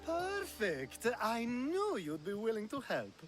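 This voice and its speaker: A man's voice: extremely high pitch, huge pitch swings, slow pace, music in the background, full character voice. An actor playing a sly, charming ancient Greek nobleman in a video game, teasing and theatrical. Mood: playful, persuasive. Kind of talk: video game cutscene acting.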